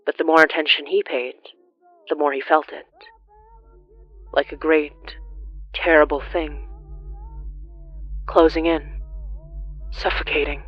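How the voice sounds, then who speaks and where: A narrator reading a scripted horror story in a grave, measured way, with deliberate dramatic pauses. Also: mid-range voice, flat delivery, breathy edge, highs cut off.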